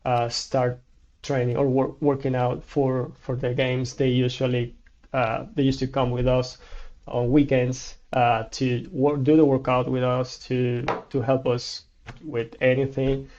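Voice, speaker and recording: A man in his thirties from Cuba with a Cuban Spanish accent, a native Spanish speaker talking haltingly as a guest in a casual interview, with many restarts. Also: medium pitch, little pitch movement, a little mellow, dull audio, slight background noise.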